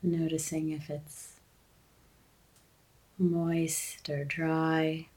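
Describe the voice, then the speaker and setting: Female meditation guide, gentle and very slow, with flat, steady intonation and long pauses. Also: low-pitched.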